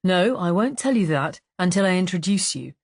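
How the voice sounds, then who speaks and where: A woman voicing a courteous, guarded male police inspector in an audiobook, measured and casually polite. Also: on the low side, lively intonation, darkish tone, no echo, clean studio sound. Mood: assured, guarded.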